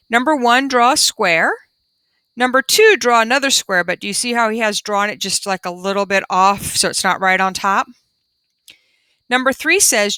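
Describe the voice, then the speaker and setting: A woman's voice: mid-range voice, sharp tone, clean tone, no echo. A woman teacher reading a children's book aloud and adding simple explanations for young pupils. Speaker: primary school teacher.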